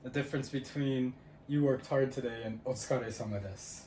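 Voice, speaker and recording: A man's voice: mid-range voice, fairly quick. Chatty young man in an echoey room. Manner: relaxed, chatty, quizzes his friend. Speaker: podcast co-host. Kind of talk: casual chat. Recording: roomy echo, faint ambient noise.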